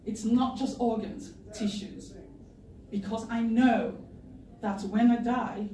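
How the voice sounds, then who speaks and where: A middle-aged woman giving a heartfelt personal testimony at a lectern, earnest and emotional, pausing to gather herself. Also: a little high, fairly even intonation, dark tone, slight background noise. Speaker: patient campaigner giving a speech. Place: wood-panelled meeting room, indoors, at a lectern. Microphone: far camera in the audience. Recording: echoey room from far off, faint ambience.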